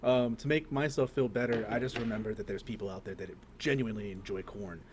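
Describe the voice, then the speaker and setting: A man's voice: fairly low pitch, fairly even intonation, fast talker, a little hiss or hum. A man co-hosting a casual, joking podcast, talking in a slangy, mock-indignant way with plenty of swearing. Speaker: podcast co-host.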